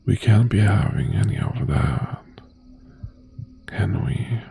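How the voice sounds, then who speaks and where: Man voicing a very slow, gravelly mafia boss. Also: sits low, lively intonation, full character voice. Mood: coaxing, possessive. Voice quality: gravelly, breathy half-whisper. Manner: slow, deliberate, softly threatening. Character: obsessive, menacing male mafia boss ex-boyfriend, possessive yet tender. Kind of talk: boyfriend ASMR roleplay, intimate monologue.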